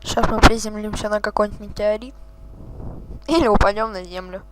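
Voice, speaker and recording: A man's voice: high-pitched, steady tone, fairly quick, heavy background noise. A man hosting a gaming channel, chatting casually and swearing as he reacts to the game. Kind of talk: casual let's-play commentary.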